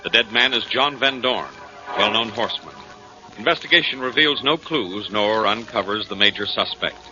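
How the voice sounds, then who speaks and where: A man working as a radio announcer, reading scripted mystery narration in a dramatic, deliberate 1940s style. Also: fairly low pitch, animated. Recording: muffled 1940s radio recording. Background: organ music.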